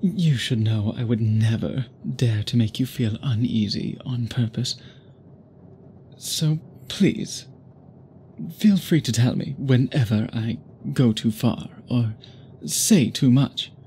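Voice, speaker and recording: A man playing an obsessed, courtly gentleman vampire in an intimate audio roleplay, speaking formally and deliberately to the listener. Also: mid-range voice, a little hiss or hum. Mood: intense, reassuring. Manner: formal, flowery, measured speech with deliberate pauses. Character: obsessed, courtly gentleman vampire suitor, possessive yet restrained. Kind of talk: intimate scripted ASMR roleplay, addressed to listener.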